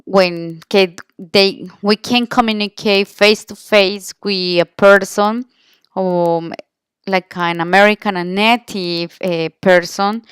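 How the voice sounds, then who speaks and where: A woman's voice: mid-range voice, clear, resonant tone, dry recording, studio quality. A woman with a Colombian Spanish accent gives an informal class presentation in English as a second language, searching for words and correcting herself. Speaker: language student presenting an interview.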